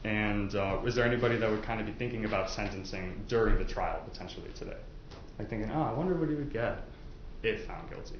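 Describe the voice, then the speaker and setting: A man with a fairly low voice questions potential jurors in a conversational, explanatory style. The room echoes heavily, and the recording is muffled with faint noise behind it. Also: fast. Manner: informal, explanatory, hedging with casual asides. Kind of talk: courtroom jury questioning, conversational. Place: indoor courtroom.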